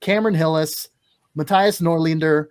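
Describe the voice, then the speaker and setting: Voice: medium pitch, normal speed, darkish tone. A co-host running a segment of a hockey podcast, organized and jokey. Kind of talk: casual hockey podcast chat.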